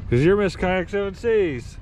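A man's voice: high voice, lively intonation, moderate pace. A man hosting a kayaking vlog, chatting casually and laughing easily while wading in shallow sea water, recorded on an action camera. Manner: easygoing, chatty, laughs often. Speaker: kayaking channel host. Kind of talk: casual outdoor vlog narration. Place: outdoors in shallow sea water by rocks and a beach. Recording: outdoor action camera with loud water noise.

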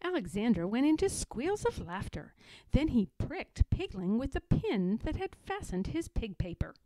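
A woman reading a children's story aloud in an expressive narrating style. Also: a little high, moderate pace, no echo, poor audio. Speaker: story reader.

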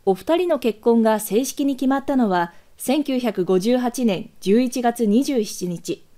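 Female archive-news narrator, higher-pitched with a mellow, soft-edged tone; formal, measured scripted read.

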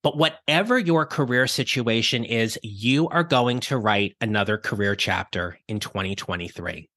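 A middle-aged man hosting a career-advice podcast, his voice raspy from illness, speaking in an upbeat, encouraging, conversational way. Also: fairly low pitch, normal speed, bright, forceful tone, dry recording, clean studio sound. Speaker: podcast host.

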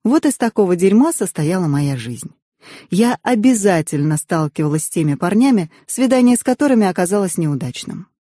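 A woman reading a novel aloud as an audiobook narrator, in expressive first-person storytelling. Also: fairly low pitch, no echo, clean studio sound.

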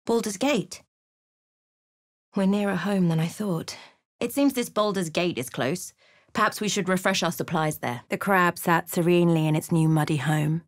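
A woman playing a wry, watchful adventurer in a video game, telling of events in a measured, dramatic way. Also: mid-range voice, no echo, clean studio sound, lightly acted. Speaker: game character voice actor. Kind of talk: video game voice lines, narration and barks.

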